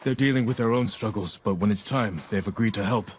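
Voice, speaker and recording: A man's voice: lowish voice, fairly quick, a little hiss or hum, close to an everyday voice. A man acting an earnest, resolute young samurai in a video game cinematic, serious and restrained in the way he talks. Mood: restrained resolve.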